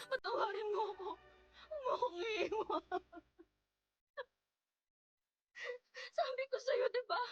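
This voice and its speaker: A woman's voice: very high voice, no echo. A Filipino woman acting in a radio drama as a grief-stricken pregnant young wife, pleading tearfully in a breaking voice. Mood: grieving, pleading. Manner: pleading, repetitive wailing.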